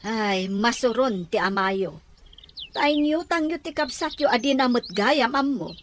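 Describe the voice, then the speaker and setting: A woman's voice: on the high side, expressive, measured pace, a little hiss or hum. A woman playing a gossipy, scolding village aunt in a radio drama, blunt and nagging, with an Ilocano accent.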